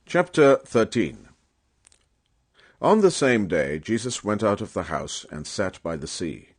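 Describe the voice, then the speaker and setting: A man narrating scripture as a dramatic audiobook reading, with a solemn, emphatic and measured oratorical delivery. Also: fairly low pitch, big pitch swings.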